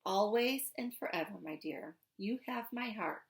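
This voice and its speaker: A gentle American dad from a children's storybook as a woman reader plays him. He is affectionate and reassuring, with a playful edge, lilting widely in pitch and turning creaky at times. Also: animated, unhurried. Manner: tender, reassuring, softly teasing. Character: gentle, affectionate, playful father of a young girl.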